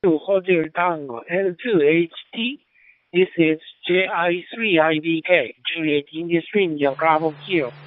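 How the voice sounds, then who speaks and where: A man's voice: a little high, dry recording. Japanese-accented man on tinny radio link. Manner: polite, repetitive, halting. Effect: two-way digital radio. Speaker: amateur radio operator. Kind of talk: formal radio contact exchange. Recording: tinny narrowband digital radio transmission.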